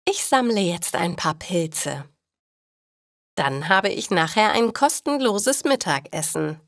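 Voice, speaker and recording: A woman's voice: mid-range voice, animated, slow, no echo, studio quality. A woman voicing a cheerful, honest woman in a storybook, musing to herself with careful enunciation.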